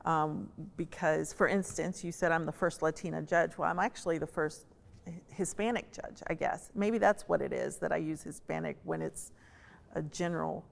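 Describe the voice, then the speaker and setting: A woman from the US South speaking as a panel guest in a conversational, measured way, pausing to choose her words. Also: sits low, fairly even intonation, creaky. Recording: long hall-like reverb.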